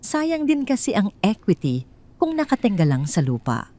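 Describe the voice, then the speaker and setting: A woman's voice: medium pitch, huge pitch swings, normal speed, warm tone, voice pushed up. A woman voicing a glamorous, scheming mistress in an audio drama, falsely friendly, with a sugary, casually probing manner.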